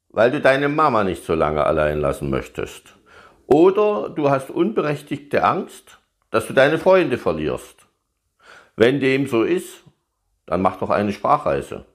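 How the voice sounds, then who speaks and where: A man working as a study-abroad advisor, hosting an advice podcast and explaining things in a chatty way, speaking directly to students. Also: mid-range voice.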